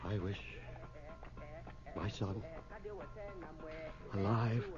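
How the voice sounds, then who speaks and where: An actor playing a frightened, grieving middle-aged husband who pleads haltingly with his wife in a radio horror drama. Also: lowish voice, fairly even intonation, over music. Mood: resigned, dread. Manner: halting, pleading, emotional delivery. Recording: muffled, noisy 1980s radio drama recording.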